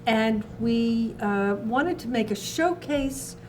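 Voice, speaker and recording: A woman recalling her work as a festival co-founder in a conversational documentary interview, haltingly searching for words. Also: on the high side, a little mellow. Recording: distant mic with background noise.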